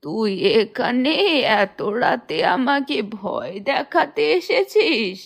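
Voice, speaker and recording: A creaky, high-pitched old village woman played by a woman speaks very slowly in a cartoon folk tale. She is poor but defiant, and scolds indignantly. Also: big pitch swings, full character voice. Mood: indignant, scolding. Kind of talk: Bengali cartoon voice acting.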